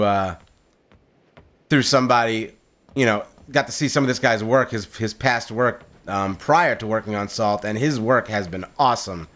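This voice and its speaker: A man hosting a gaming video, talking in a casual, upbeat way with a loud voice and lots of fillers, recorded on a condenser mic at home. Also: mid-range voice. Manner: upbeat, chatty, frequent fillers and repeated words. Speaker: gaming channel host. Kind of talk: casual solo gameplay commentary. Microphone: condenser mic on a boom stand.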